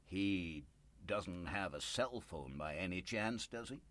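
Male actor as needy small-business hopeful, slow halting delivery, swinging intonation, wheedling, anxious, radio drama. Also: sits low, lively intonation, fairly bright tone, exaggerated voice. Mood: nervously insistent. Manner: halting, wheedling, nervously insistent. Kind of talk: naturalistic radio drama dialogue.

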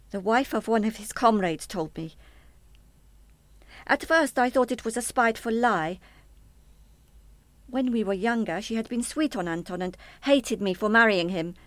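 A woman acting in a radio play as a bereaved wife, measured and insistent, controlled but obsessive about claiming a son. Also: medium pitch, no echo.

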